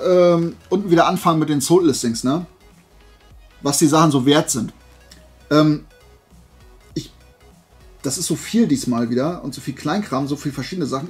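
A man hosting a haul video, rambling casually to his viewers, often drifting off track and correcting himself. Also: a little high. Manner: chatty, digressive, self-correcting. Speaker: flea market haul video host.

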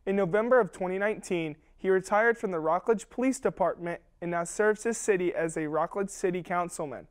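A young adult woman, a student presenter, reading a scripted introduction in a steady, measured way. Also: lowish voice. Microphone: lapel mic.

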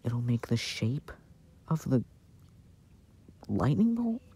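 A man doing a casual, intimate ASMR boyfriend roleplay in a soft-spoken, playful way, recorded outdoors. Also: medium pitch, sing-song, takes it slowly, breathy edge. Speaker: audio roleplay performer playing a boyfriend. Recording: outdoor recording with background noise. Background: outdoor ambience and noise.

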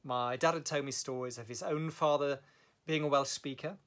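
A middle-aged man recounting his language learning in a thoughtful, measured way, with fillers and self-corrections, filmed in his home study. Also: mid-range voice, steady tone, fairly bright tone. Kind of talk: reflective personal storytelling to camera. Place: home study with bookshelves, indoors. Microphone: camera at desk distance.

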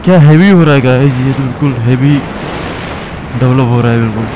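A young man, narrating casually to viewers while riding a motorbike through city streets, talking in halting bursts. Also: medium pitch. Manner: chatty, halting, addresses viewers as guys. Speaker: motovlogger. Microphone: helmet or bike-mounted action camera mic. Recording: muffled mic with traffic noise.

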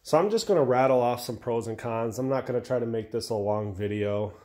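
An American man giving an informal, blunt, unscripted product review in a halting way, correcting himself as he goes. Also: medium pitch, darkish tone. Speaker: welder-fabricator reviewing a tool. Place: indoor metalworking garage workshop.

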